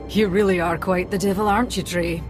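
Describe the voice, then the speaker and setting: An actress playing a self-assured imperial research officer in a science fiction game, formal yet wry and needling. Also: female voice, fairly low pitch, fairly even intonation, normal speed, natural voice. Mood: wry, teasing. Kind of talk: video game cutscene acting. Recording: music behind the voice.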